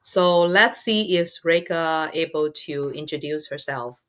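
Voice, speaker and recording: A middle-aged woman hosting a live online interview, with halting non-native phrasing. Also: low voice, a bit bright. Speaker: host.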